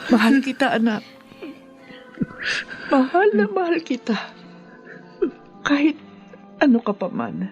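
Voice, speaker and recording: A woman's voice: high-pitched, big pitch swings, takes it slowly, long pauses, a little mellow, faint music behind, exaggerated voice. A woman playing an ailing, devoted elderly Filipino mother and grandmother in an emotional radio drama. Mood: tender, loving.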